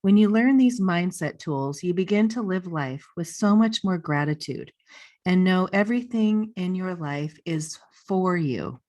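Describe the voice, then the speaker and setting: A woman's voice: lowish voice, expressive, a little slow, a little mellow. A woman in her forties hosting a podcast from her living room over a video call, talking in an upbeat, gushing way with lots of "you know.". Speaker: podcast host. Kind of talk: scripted promo then casual interview chat. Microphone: studio mic on a boom arm, earbuds, video call.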